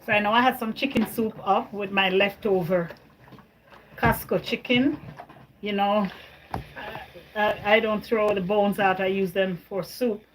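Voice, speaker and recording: A woman's voice: medium pitch, fairly even intonation, a little slow, a little hiss or hum, poor audio. A woman from Jamaica hosting a casual livestream, chatting with viewers, answering their comments and often repeating her words. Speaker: livestream host.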